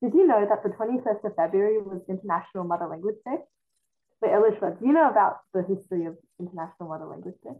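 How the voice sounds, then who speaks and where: A woman's voice: mid-range voice, lively intonation, racing. A teenage girl who grew up in China and Australia, with Mandarin as her first language, co-hosting a radio show informally. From China, then Australia from early childhood. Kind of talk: casual radio chat with scripted links. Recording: thin, phone-like band-limited sound.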